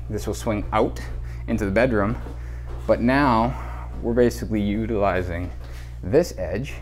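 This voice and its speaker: Man, lowish voice, casual site walkthrough, action-cam audio, faint background hum. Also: a little mellow. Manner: casual, explanatory, pointing things out. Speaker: builder giving a site tour. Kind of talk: walkthrough explanation on a job site. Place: indoor renovation site, bare rooms. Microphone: head-mounted action camera.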